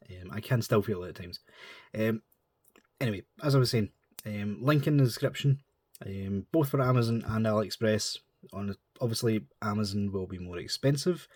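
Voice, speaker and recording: Stuffy-nosed man, low voice. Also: a little mellow, slightly rough, no room sound, clean studio sound. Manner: chatty, rambling, informal. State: stuffy nose from a cold. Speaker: model kit reviewer. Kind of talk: casual chat.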